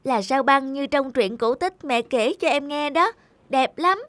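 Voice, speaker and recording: A woman's voice: sits high, animated, measured pace, a bit bright, dry recording. A woman voicing a small, affectionate little sister in a children's cartoon, with a childlike, pleading manner. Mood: eager, chatty. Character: small injured little girl, sweet and affectionate younger sister.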